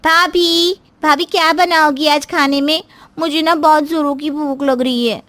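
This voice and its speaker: Naive young sister for a story cartoon, played by a woman: high, girlish, eager, simple-minded, insistent. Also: steady tone, a little slow, a bit bright. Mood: eager, innocent. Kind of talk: Hindi moral story cartoon voice-over.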